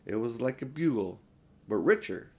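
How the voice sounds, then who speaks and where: An older man reads a children's storybook aloud. His voice sits at a middle pitch, and his narration is plain and homely, like an amateur audiobook. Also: animated, normal speed, slightly rough.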